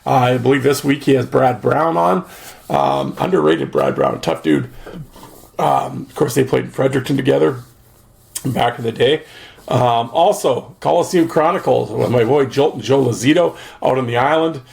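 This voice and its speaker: Podcast host, male, gravelly mid voice, chatty and rambling, throat getting rough. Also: mid-range voice, expressive, normal speed, darkish tone. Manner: rambling, chatty, joking, lots of fillers. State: losing his voice, clearing his throat. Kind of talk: casual solo podcast chat.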